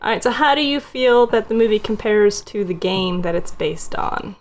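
A woman's voice: medium pitch, lively intonation, normal speed. A woman hosting a casual group discussion, guiding it along in conversational speech. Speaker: podcast host leading a film discussion.

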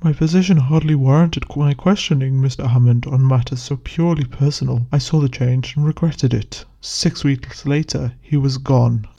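Grave old-fashioned lawyer guy a woman doing him, slow weighty talk, mellow, kinda muffled. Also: very deep voice, pitched below the performer's own voice. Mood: solemn. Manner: measured, solemn, heavy pauses. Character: grave, solemn male family lawyer, middle-aged. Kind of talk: audiobook character reading.

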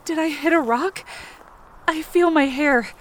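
A woman acting an anxious, self-blaming, injured character who narrates her ordeal in short, halting phrases for an audio drama. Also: high-pitched. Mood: strained, determined. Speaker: fiction podcast performer.

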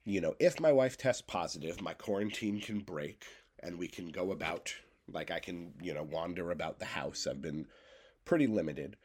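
Stuffed-up guy, kinda low voice, rambling through his podcast from his bedroom. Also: normal speed, darkish tone, breathy edge. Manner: loose, stream-of-consciousness rambling. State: congested, with a cough. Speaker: podcast host. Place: home bedroom.

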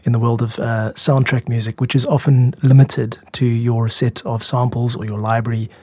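A man hosting a podcast about making music, explaining things in a measured, candid, self-critical way. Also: mid-range voice, flat delivery, darkish tone, slightly rough, dry recording. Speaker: music podcast host and composer.

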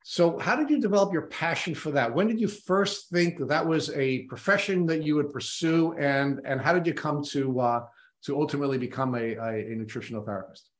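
Enthusiastic male podcast host, higher pitched. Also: fairly quick. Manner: enthusiastic, rambling questions. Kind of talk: podcast interview.

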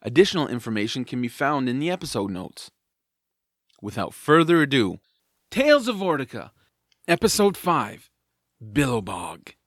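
A man's voice: mid-range voice, sing-song, normal speed, pauses often, no room sound, clean studio sound. A man working as announcer, reading a scripted introduction to an audio drama.

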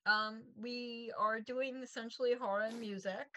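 A woman's voice: a little high, steady tone, measured pace, bright, forceful tone, no room sound. A woman with a New York accent, co-hosting a show in casual chat. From New York, United States. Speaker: show co-host.

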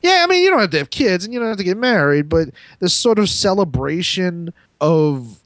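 A man's voice: high-pitched, big pitch swings, fairly bright tone, dry recording. Rambling man in casual podcast banter, dry sound. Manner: rambling, halting, profane. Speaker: podcast co-host. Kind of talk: casual, crude opinionated group banter.

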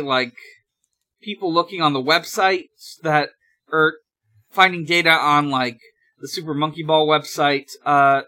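Higher-pitched man, slow halting podcast chat. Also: pushed and bright. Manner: halting, hesitant delivery with drawn-out pauses. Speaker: podcast host. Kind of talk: casual podcast chat, sharing news.

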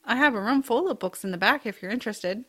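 Casting: actress for whimsical, kindly aunt in family audiobook drama, gentle and earnest, slightly high voice. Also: female voice, expressive, quick pace. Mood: warm, encouraging. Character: kindly, whimsical aunt, bookish home cook.

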